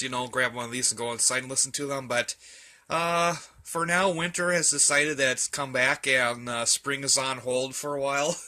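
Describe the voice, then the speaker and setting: A man's voice: medium pitch, sharp tone. A man giving a casual, rambling show-and-tell indoors, thinking aloud in a folksy, colloquial way. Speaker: hobbyist presenter. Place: indoors, in a room at home.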